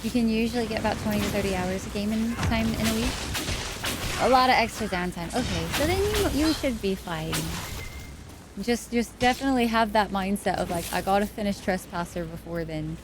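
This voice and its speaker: A woman's voice: mid-range voice, fairly quick, background noise. Tired, chatty woman streamer. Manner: chatty, thanks viewers and reads chat aloud. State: tired, late at night. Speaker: game streamer. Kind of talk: casual chat while gaming live.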